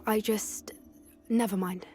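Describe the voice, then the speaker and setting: A woman's voice: medium pitch, fairly even intonation, slow, mellow, natural voice. An actress playing an earnest, anxious young witch in a video game, polite and hesitant, with music behind. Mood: nervous. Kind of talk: video game cutscene acting.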